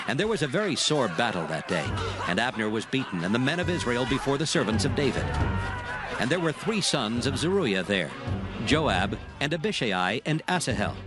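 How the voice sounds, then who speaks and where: Casting: male narrator, mid-pitched, solemn and measured, reading dramatized scripture with music underneath. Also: sing-song. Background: background music.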